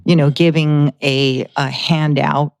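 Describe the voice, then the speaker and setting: An American woman talks as an interview guest in a smooth, clear voice with a dry, close sound. Her delivery is conversational, earnest and slightly hesitant. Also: low voice, fairly even intonation, slow pace, no echo. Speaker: foundation board president, guest.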